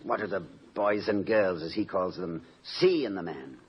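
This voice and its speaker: An actor playing an erudite, wry, fond middle-aged college president in a 1950s radio comedy, speaking in eloquent, dryly witty sentences. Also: male voice, low voice, moderate pace, close to an everyday voice. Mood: dryly sardonic. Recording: muffled old radio recording with noise.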